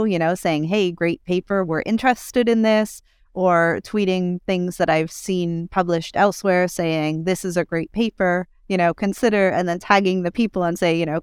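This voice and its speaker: Smooth-voiced upbeat woman editor. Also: on the low side, no room sound, clean studio sound. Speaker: journal editor, podcast guest. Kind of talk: casual discussion.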